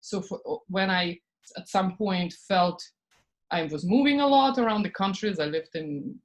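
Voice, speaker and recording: A woman from Estonia, a podcast guest talking casually and acting out her inner thoughts in lively asides. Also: fairly low pitch, moderate pace. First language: Russian. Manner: animated, acts out her own inner voice in quoted asides, frequent "like" and soft laughs. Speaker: podcast guest, spiritual business coach. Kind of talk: casual interview talk.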